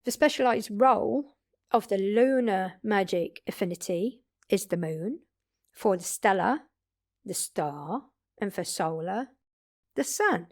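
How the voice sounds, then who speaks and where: A confident female vampire, acted by a woman, speaks at a medium pitch. She explains the magical world like a seasoned mentor, chatty and wry, talking straight to one listener in an intimate audio drama. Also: lively intonation, slow. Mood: explanatory, skeptical. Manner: chatty, informal explaining with occasional swearing.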